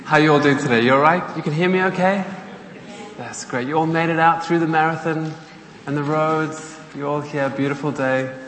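A man's voice: on the high side, normal speed, a bit bright. South African man in his thirties, friendly guest preacher, roomy church sound. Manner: chatty, engages the audience with questions. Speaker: guest speaker from a charity. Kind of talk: church talk to a congregation. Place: church hall. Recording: echoey hall, faint ambience.

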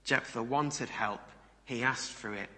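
Male preacher, very bright, crisp-edged tone, conversational sermon delivery, long hall reverb. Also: medium pitch, a little slow. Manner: conversational, rhetorical questions to listeners. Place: large reverberant room before a congregation.

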